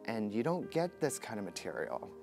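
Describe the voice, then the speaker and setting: Lively-pitched man in interview, music behind. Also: normal speed, creaky. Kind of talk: reflective interview.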